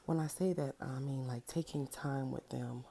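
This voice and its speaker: A woman in her thirties with a deep, gravelly voice, roughened by a cold. She talks in a warm, mellow tone and rambles as she goes, dropping in ums and checking in with "right?" A washing machine hums faintly behind her at home. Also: fairly even intonation, normal speed, slight background noise. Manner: loose, rambling, with many ums and rhetorical "right?". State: under the weather. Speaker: spiritual vlogger. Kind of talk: casual direct-to-camera message. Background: washer running, children fussing at times.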